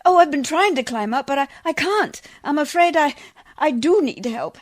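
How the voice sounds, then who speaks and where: A woman's voice: high voice, expressive, normal speed. An actress playing a prim, proper, easily flustered lady in a radio play, formal and a little hesitant. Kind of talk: old-time radio play acting.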